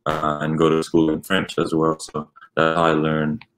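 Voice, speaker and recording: A man's voice: very deep voice, monotone, moderate pace, a bit bright, a little hiss or hum. A young man from Switzerland, a native Italian speaker and college basketball player, answering interview questions with many fillers. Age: young adult.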